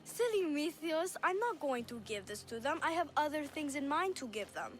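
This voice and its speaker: High-voiced innocent little girl. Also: very animated, normal speed. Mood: earnest, sweet. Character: innocent, lonely little girl, earnest and playful. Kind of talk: video game cutscene acting.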